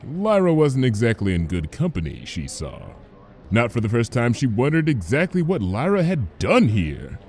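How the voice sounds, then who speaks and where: A man's voice: mid-range voice, wildly swooping pitch, moderate pace, darkish tone. A man reading a story aloud as narrator, in a scripted storybook style. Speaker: fan fiction reader, narrator.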